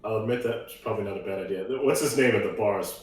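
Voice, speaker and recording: A man's voice: lowish voice, fast. A man chats casually as a player in a tabletop roleplaying game, talking through his moves. His voice sits in a roomy, echoing space, with faint music behind it. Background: faint background music.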